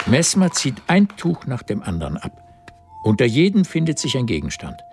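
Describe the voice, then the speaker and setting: A man's voice: medium pitch, huge pitch swings, normal speed, darkish tone, faint music behind. A man narrating a historical radio drama as its scripted literary storyteller. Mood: observant.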